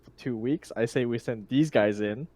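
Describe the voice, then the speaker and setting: A man's voice: medium pitch, normal speed, a little mellow. A man in a tabletop roleplaying game, talking casually as a sergeant in a blunt, joking way. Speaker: player as sergeant.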